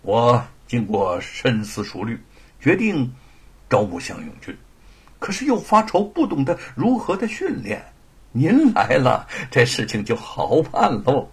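Man voicing a fiery old militiaman, husky and gravelly. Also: mid-range voice, wildly swooping pitch, unhurried, exaggerated voice. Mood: heartfelt. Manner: impassioned, emphatic. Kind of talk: dramatised Chinese historical storytelling.

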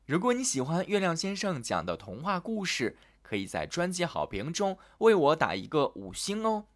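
Male children's narrator, animated sing-song fairy-tale delivery. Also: on the high side, normal speed. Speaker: children's storyteller.

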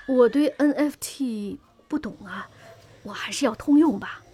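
Cautious haggling mother, audio drama. Husky, raspy tone, unpretentious delivery. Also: a little high, measured pace, dark tone. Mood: hesitant bargaining. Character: older mother haggling over a data sale, plain, cautious. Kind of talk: Chinese audio drama dialogue.

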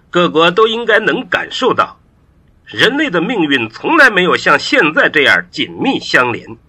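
A man's voice: a little high, sharp tone, slightly rough, full character voice. A man playing a composed, authoritative statesman giving a formal, declamatory speech in an audio drama. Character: composed, authoritative male Chinese statesman urging caution.

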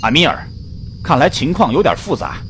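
Excitable young-sounding spaceship crewman, a man acting wildly swooping speech. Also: fairly high pitch, moderate pace, background noise. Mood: puzzled, worried. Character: anxious, excitable male spaceship navigator and crewman. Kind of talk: Chinese science fiction radio drama acting.

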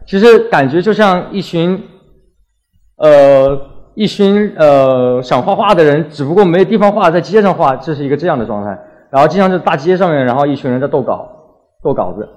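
A man's voice: a little high, lively intonation, normal speed, a little mellow. A young man from Wuhan giving a casual talk as a graffiti artist, colloquial and full of anecdotes, acting out little exchanges as he goes. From Wuhan, China.

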